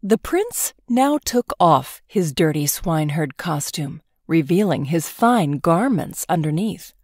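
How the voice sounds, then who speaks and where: A woman narrating a children's fairy tale as an expressive, scripted storybook read-aloud. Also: deep voice, slow, no echo, studio quality.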